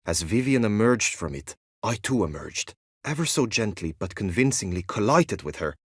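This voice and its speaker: A man's voice: on the low side, sing-song, normal speed, husky, dry recording, studio quality. A man playing an earnest, lovestruck young knight in a fantasy video game, speaking in formal, archaic, courtly phrases. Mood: proud, romantic. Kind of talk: video game voice acting, medieval fantasy drama.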